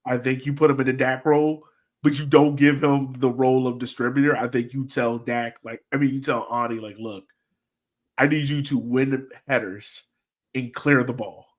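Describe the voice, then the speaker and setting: A man's voice: mid-range voice, steady tone, normal speed. A man hosting a casual sports podcast, talking informally and thinking aloud as he rambles through his analysis. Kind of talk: casual soccer fan chat and analysis.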